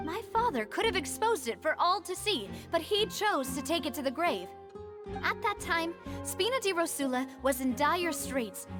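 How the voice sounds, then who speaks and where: A high, youthful female game character, resolute and articulate. She argues her case like a young leader in a courtroom drama, in declarative speeches over clear background music. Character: resolute young woman, syndicate leader arguing for her dead father.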